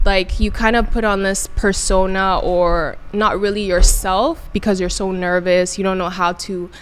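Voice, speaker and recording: A woman in her twenties from Ghana, a guest chatting in an interview with many pauses and restarts. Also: mid-range voice, fairly even intonation, fairly bright tone, slight background noise. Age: 20s. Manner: hesitant, many ums and restarts. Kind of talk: casual interview chat.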